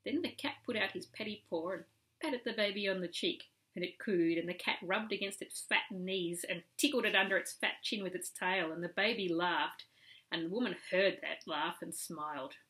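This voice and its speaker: A woman reading a children's story aloud as a storyteller, in an animated, emphatic manner. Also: fairly low pitch, normal speed. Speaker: storyteller reading to a young relative.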